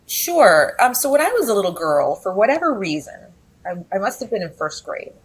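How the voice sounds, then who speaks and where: A woman's voice: medium pitch, very animated, a little fast, slight background noise, rough recording. A woman who grew up speaking Spanish at home, talking reflectively as an interview guest, with frequent fillers and restarts. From Texas, USA. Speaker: guest, business editor. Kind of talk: conversational interview.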